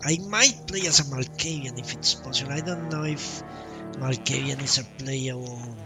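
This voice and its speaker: A man's voice: medium pitch, normal speed. A man doing casual live commentary on a game he plays, thinking aloud and muttering short questions to himself. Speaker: game streamer. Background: game music.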